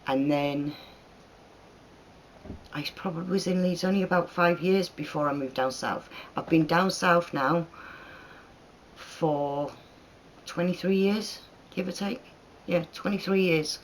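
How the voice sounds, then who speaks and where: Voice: medium pitch, a little slow, long pauses, a little hiss or hum, low-quality recording. A speaker in their thirties from northern England, with an accent that mixes northern and southern English, casually chatting through viewer questions, rambling and laughing often. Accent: mixed northern and southern English. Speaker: channel host answering viewer questions. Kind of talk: casual Q&A chat.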